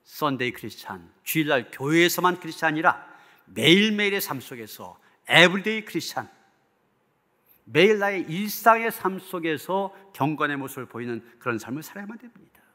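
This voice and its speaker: A man's voice: a little high, sing-song, brisk, pushed and bright. A man preaching as a pastor in an earnest, emphatic and rhetorical manner. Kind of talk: sermon preaching, closing in prayer. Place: church hall. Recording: long hall reverb.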